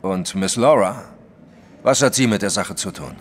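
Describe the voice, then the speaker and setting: An actor playing a big, brusque, skeptical detective in an audio drama, questioning in a dry, commanding way. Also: male voice, on the low side, normal speed, a little hiss or hum, lightly acted. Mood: probing. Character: huge, brusque, skeptical French male detective. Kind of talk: German audio drama acting.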